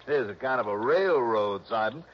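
A drawling small-town police captain, unhurried and folksy with a lowish voice. The vintage broadcast sounds dull, with a faint hiss. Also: male voice, lively intonation. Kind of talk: 1960s radio drama acting. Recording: dull old radio broadcast.

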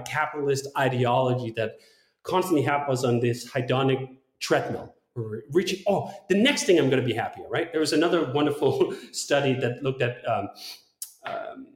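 A man's voice: mid-range voice, normal speed. Man reflecting in an echoey room. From Denmark. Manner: philosophical, thinking aloud, emphatic. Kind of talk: reflective long-form conversation.

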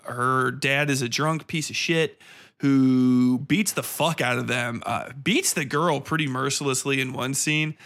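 Male podcast reviewer, mid-pitched with a bright, forceful edge; blunt, sweary, sardonic, casual solo delivery. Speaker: podcast host and film reviewer. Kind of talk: casual film review monologue.

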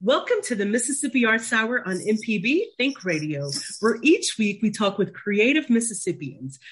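A woman's voice: on the high side, big pitch swings, normal speed, breathy edge. A woman hosting a radio arts program, introducing her guest and asking questions as an attentive interviewer. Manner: attentive interviewer, soft affirming murmurs. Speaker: radio show host.

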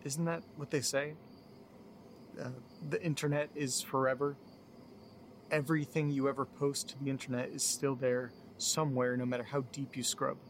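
A man's voice: medium pitch, level tone, moderate pace, close to an everyday voice. Man acting a baffled, worried guy over cricket noise. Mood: troubled, baffled. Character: worried, baffled young man searching for a vanished friend. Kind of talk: audio drama monologue, recorded-diary narration.

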